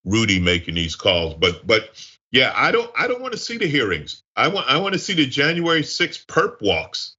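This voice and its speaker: A man's voice: mid-range voice, huge pitch swings, normal speed, pushed and bright, slightly rough, muffled recording. A man giving conversational political commentary as a guest, wry and emphatic, repeating phrases for effect.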